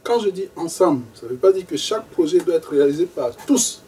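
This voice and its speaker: A man presenting to a group as facilitator of a networking meeting, measured and repeating phrases for emphasis. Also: a little high, animated, warm tone, breathy edge. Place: meeting room in a bookshop. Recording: distant mic, faint room noise.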